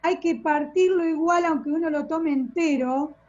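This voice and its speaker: A woman's voice: sits high, normal speed, a little mellow. A woman with an Argentine accent patiently explaining medication details in a video call. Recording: dull lo-fi video call audio.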